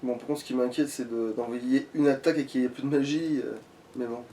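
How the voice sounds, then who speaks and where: A man's voice: medium pitch, steady tone, fast talker, mellow, far from the mic, a little hiss or hum, low-quality recording. A man giving casual, sweary, slangy commentary while playing a video game. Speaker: let's player playing on camera.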